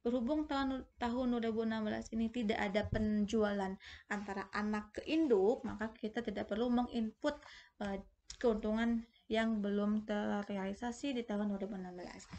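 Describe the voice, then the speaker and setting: A woman's voice: medium pitch, fairly even intonation, normal speed, a little mellow. A woman explains step by step as a tutor in an informal lesson, often posing questions and adding conversational tags. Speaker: accounting tutor presenting a video lesson.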